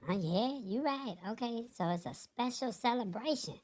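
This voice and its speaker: A woman's voice: on the high side, expressive, normal speed, a little mellow. A woman hosting a live show in a casual, chatty style. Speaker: show host.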